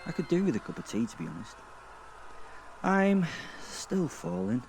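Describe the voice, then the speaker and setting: A man voicing a crude, sardonic werewolf who narrates a dark fantasy audio drama in a halting, deadpan way. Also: medium pitch, gravelly, a little hiss or hum, pitched above the performer's own voice, rough recording. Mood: wry, musing. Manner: sweary, deadpan, wry, halting. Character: crude, sardonic male werewolf narrating his own afterlife.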